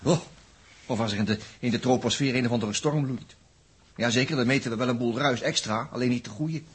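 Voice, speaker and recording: An actor with a Netherlands Dutch accent playing a chatty, nervy, excitable astronaut in a 1960s radio drama. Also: male voice, medium pitch, a little fast, slightly rough. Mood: impatient, nervous. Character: jittery, talkative male astronaut on a test crew. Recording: noisy vintage 1960s radio recording.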